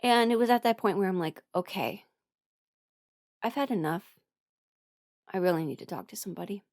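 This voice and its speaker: A young adult woman talking frankly and haltingly in a candid personal ramble, often quoting her own thoughts as she goes. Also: mid-range voice, a little mellow, no room sound, clean studio sound. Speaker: voice performer sharing a personal ramble.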